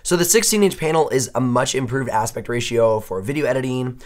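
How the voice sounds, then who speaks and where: Hoarse young male tech reviewer, mid-range voice, chatty and upbeat while unboxing. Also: medium pitch, a little fast, darkish tone. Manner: chatty, upbeat, thinks aloud while handling gear. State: hoarse, losing his voice. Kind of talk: casual unboxing talk.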